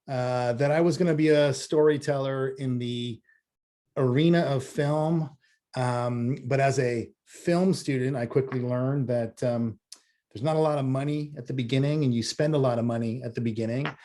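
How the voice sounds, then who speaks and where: Male creative director, from Massachusetts, mid-range voice. Informal presenter, halting and hesitant. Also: medium pitch, darkish tone. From US East Coast, Massachusetts. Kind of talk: informal self-introduction presentation.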